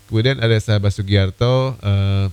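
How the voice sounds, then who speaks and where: A man hosting an evening radio request show, a little hoarse from a cold, chatting casually with many fillers. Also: lowish voice, steady tone, normal speed, darkish tone. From Indonesia.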